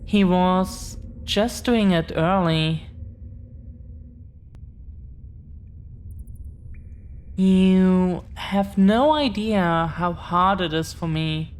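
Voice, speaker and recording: Woman doing a sweet earnest dragon girl girlfriend, audio roleplay, talks really slowly and haltingly, some background noise. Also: lowish voice, a little mellow. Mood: earnest explaining. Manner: hesitant, halting, tender, confessional. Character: affectionate, earnest dragon-blooded girlfriend confessing her secrets. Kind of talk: intimate scripted audio roleplay, addressed to the listener.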